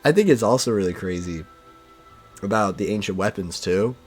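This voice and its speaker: Low voiced guy chatting like a fan on a podcast, says like and you know a lot, music behind. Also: expressive, moderate pace, darkish tone, slightly rough. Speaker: podcast speaker discussing manga. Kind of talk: casual fan discussion.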